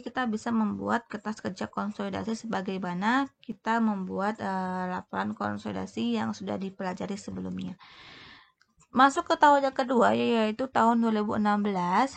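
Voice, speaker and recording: A woman explaining as an accounting instructor in a semi-spontaneous tutorial, halting and restarting as she goes. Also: mid-range voice, gravelly.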